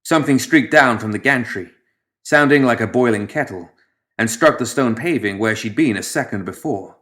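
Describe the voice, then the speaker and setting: A man reading scripted fiction as an audiobook narrator, in a measured, dramatic storytelling manner that drops softer at the ends of phrases. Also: medium pitch.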